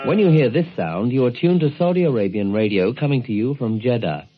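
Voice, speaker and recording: A man presenting a radio programme, giving measured, informative scripted narration. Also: mid-range voice, expressive, over music. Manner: measured, informative announcer delivery. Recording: tinny narrow-band 1970s shortwave broadcast recording.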